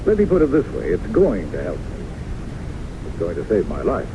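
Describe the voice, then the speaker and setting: An actor playing an earnest, smitten gentleman psychiatrist in an old-time radio drama, with theatrical, flowery delivery. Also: sits low, wildly swooping pitch, normal speed, full character voice. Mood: earnest, wistful. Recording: muffled, noisy 1970s radio recording.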